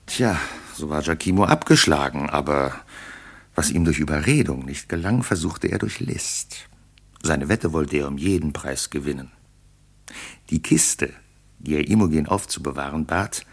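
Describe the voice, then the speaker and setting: An actor as the storyteller of a classical radio play, narrating in a measured, confiding manner. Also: male voice, rumbling low, creaky, breathy edge, exaggerated voice.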